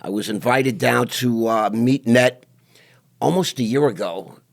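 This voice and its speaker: A man with a gravelly, fry-edged voice hosts a podcast from a studio. He talks in brash, hype-man banter and plays up his guest. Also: medium pitch, a little slow. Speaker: podcast host. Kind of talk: casual interview.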